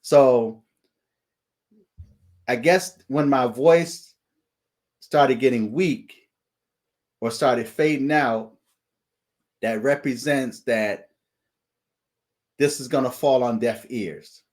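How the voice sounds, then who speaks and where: A man, hosting his channel and recounting a dream in a slow preaching monologue, often pausing to ask "okay?". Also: medium pitch, darkish tone. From United States. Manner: deliberate, repeats "okay?" as a check-in. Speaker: channel host sharing dreams and visions.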